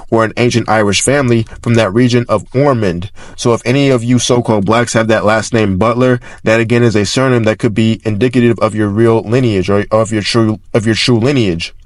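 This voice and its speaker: A man's voice: lowish voice, no echo. A man narrating in an informal explanatory style, reading records aloud and often repeating and rephrasing himself as he goes. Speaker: narrator presenting archive records.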